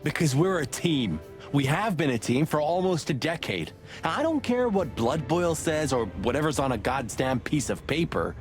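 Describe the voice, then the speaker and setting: A man's voice: on the high side, big pitch swings, normal speed, hardly any pauses, soft background music. A man playing a loyal, hot-headed rooster cop in a noir game, wisecracking and blustery. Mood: determined. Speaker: detective's partner. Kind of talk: film noir game voice acting.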